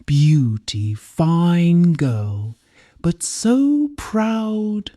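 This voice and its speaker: A woman's voice: on the low side, sing-song, very slow, mellow, no room sound, clean studio sound, exaggerated voice. A woman storyteller voicing a jealous young village girl who taunts and sneers. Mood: mocking. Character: jealous, sneering village girls mocking a rival. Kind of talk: storybook character voice.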